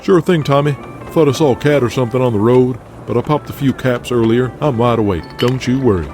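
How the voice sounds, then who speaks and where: A man's voice: medium pitch, brisk, a little mellow, soft background music, pitched above the performer's own voice, lightly acted. A man doing a wired, brash wrestler. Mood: reassuring. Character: jittery wired male wrestler driving.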